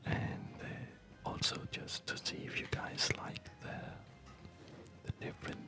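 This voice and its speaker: A man giving hushed, unhurried and rambling ASMR commentary over a game he is playing, speaking in a whisper. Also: soft background music. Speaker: gaming video host.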